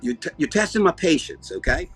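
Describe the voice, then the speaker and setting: An older man with a Southern US accent and a husky voice, chatting in a folksy, rambling storytelling way with down-home grammar. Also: on the high side, animated, fairly quick, fairly bright tone, faint music behind. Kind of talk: casual bantering chat.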